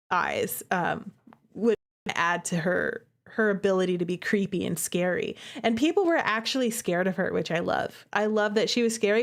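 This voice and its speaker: A woman streamer giving casual, expressive and giggly commentary on a game she made herself. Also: fairly low pitch.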